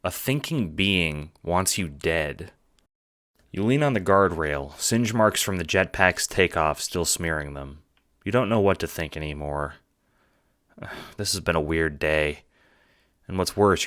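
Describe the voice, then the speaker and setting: A man's voice: low-pitched, lively intonation, a little slow, fairly bright tone, no echo, clean studio sound. A man reading scripted second-person audiobook narration with a dramatic storytelling delivery.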